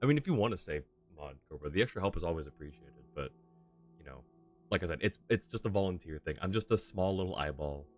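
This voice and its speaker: A man's voice: deep voice, lively intonation, quick pace, faint music behind. A young man chatting casually and self-deprecatingly while streaming a game. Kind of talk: casual live-stream chat.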